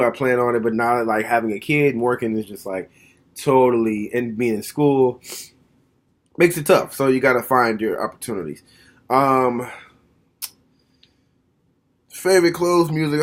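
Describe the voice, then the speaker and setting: A man in his mid-thirties speaks at an average pitch in a relaxed, reflective monologue recorded at home. His delivery is frank and direct, and he thinks out loud as he talks. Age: 30s. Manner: frank, plainspoken, thoughtful. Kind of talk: casual reflective monologue to camera.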